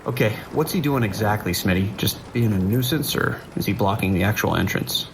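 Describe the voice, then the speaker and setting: A man playing a dry, weary deputy at a dispatch desk in an audio drama, steady and laconic. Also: lowish voice, a little fast, noisy background, lightly acted. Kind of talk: audio drama phone dialogue.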